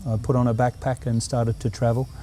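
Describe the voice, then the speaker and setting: Guest spot: middle-aged Australian man, dark and even, reflective. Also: mid-range voice, slight background noise. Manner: earnest, reflective, unhurried. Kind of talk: interview answers.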